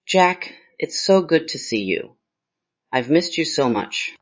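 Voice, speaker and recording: A tender holographic wife speaks in a screenplay read, voiced by a synthetic man. The voice sits somewhat high for a man, and the recording is muffled and lo-fi. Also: big pitch swings, slow, exaggerated voice. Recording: dull, muffled lo-fi audio.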